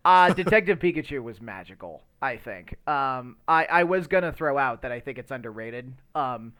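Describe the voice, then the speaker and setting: A male podcast host with a very lively, swinging intonation and a mid-range voice. He chats casually and gives his opinions with emphasis, often stumbling and circling as he hunts for the right words. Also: mid-range voice, smooth. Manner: rambling, halting search for words. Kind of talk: casual chat about movies.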